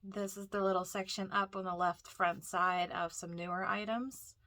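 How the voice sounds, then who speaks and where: A woman's voice: mid-range voice, fairly even intonation, moderate pace, bright, forceful tone, no room sound. A woman with a cold and a stuffy, nasal voice, chatting casually as she narrates a walk through a thrift store. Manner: chatty, rambling, frequent fillers and restarts.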